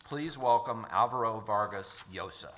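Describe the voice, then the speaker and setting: A middle-aged man hosting a lecture event, giving announcements and introducing the guest in a relaxed, chatty way with frequent fillers. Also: on the low side, unhurried, heavy background noise. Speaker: host introducing a speaker. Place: lecture hall with an audience.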